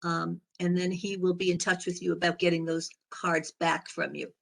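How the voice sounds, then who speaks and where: A woman chairs a public board meeting in a hoarse, raspy voice. She moves through the agenda haltingly and fills her pauses with ums. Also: fairly low pitch, level tone. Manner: halting, with many fillers.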